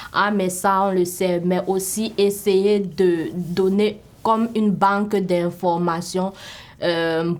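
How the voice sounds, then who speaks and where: A woman speaking candidly and reflectively about writing a script, in a documentary interview. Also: mid-range voice.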